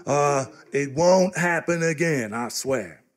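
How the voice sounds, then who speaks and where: A gullible young American bumpkin in a live comic radio play. He pitches slightly high and stumbles over his words, naive and eager to please. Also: animated. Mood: excited, bashful. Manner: slow-witted, stammering, naive. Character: dim, gullible, eager-to-please small-town young man.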